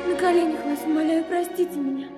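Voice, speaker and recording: Actress as Nastenka, high-voiced pleading young woman. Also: steady tone, quick pace, natural voice. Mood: pleading, remorseful. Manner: emotional, imploring, hushed at moments. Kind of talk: literary radio drama monologue. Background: music behind the voice.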